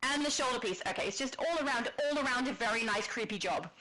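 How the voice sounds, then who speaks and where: A woman co-hosting a casual livestream and reading chat comments aloud, chatty with frequent giggles and a high soprano voice. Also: lively intonation, fast talker, bright, forceful tone, poor audio. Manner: giggly, chatty, laughs often. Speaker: stream co-host.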